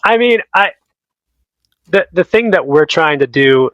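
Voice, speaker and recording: A man in sales, a guest on a casual podcast, laid-back and quick to laugh. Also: on the high side, lively intonation, no echo, lo-fi sound.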